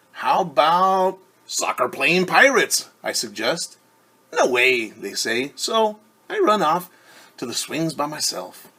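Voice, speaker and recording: A man reads a children's picture book as a teacher would, at a slow, patient pace. His pitch sits in the middle range. He explains and wonders aloud for young listeners. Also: fairly bright tone. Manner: stumbles over and corrects words when reading Spanish. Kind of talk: bilingual story time with commentary.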